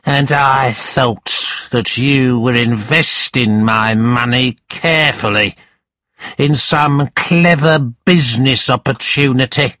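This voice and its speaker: A man voicing a scornful, sly, angry moneylender in a storybook, with a sneering, emphatic, drawn-out delivery. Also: medium pitch, sharp tone, no echo, pitched above the performer's own voice.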